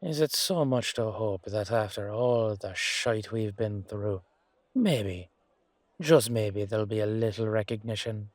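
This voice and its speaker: A man's voice: lowish voice, a little slow, darkish tone, dry recording, natural voice. A man acting a humble, weary knight with a wry, casual, hesitant manner in an amateur fantasy audio roleplay. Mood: wry, hopeful.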